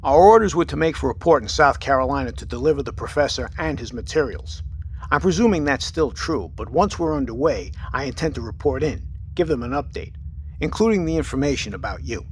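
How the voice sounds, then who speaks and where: An actor playing a steady, pragmatic submarine captain in an audio drama, with a clipped, commanding, matter-of-fact manner. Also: male voice, mid-range voice, brisk, a little hiss or hum, natural voice, low-quality recording.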